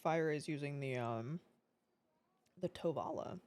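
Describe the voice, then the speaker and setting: A woman's voice: low-pitched, unhurried, a little mellow. A woman streamer whose first language is not English, chatting casually with her viewers, swearing freely and laughing often.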